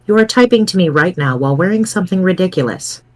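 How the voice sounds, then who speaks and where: Performer as a formal, sardonic chat friend in a webcomic reading; measured delivery, middling pitch. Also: expressive, close to an everyday voice. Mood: dry, exasperated.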